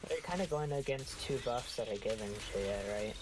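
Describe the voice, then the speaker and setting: A man's voice: mid-range voice, lively intonation, fairly quick, noisy background. A man in a casual gaming chat, explaining things in a hesitant way. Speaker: co-commentator giving game advice.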